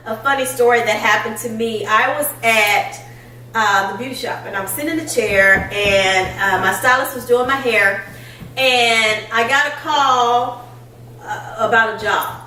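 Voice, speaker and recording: A woman's voice: on the high side, reverberant, far from the mic, a little hiss or hum, low-quality recording. A middle-aged woman hosting a casual chat, telling stories with plenty of laughter. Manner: animated storytelling, laughs often. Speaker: podcast host.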